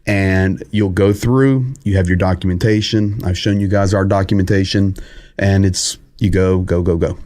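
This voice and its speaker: Low-voiced man on a live stream. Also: fairly even intonation, darkish tone. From United States. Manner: conversational, halting phrasing with many pauses and restarts; greets viewers and reads chat comments aloud. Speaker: host of a live war update stream. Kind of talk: casual live-stream commentary.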